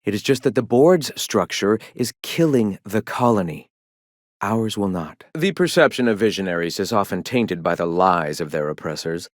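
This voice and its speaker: An actor playing a zealous, scholarly leader of a philosophical rebel movement in a video game, speaking in a lofty, formal, preachy way. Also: male voice, fairly low pitch, expressive, moderate pace, no echo, studio quality, natural voice. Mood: earnest, preaching. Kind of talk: video game character dialogue.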